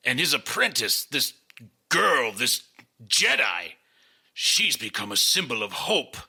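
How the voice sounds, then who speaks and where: Nasty alien warlord, slow, creaky, raspy, grim, audio drama. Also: male voice, medium pitch, big pitch swings, pushed and bright, full character voice.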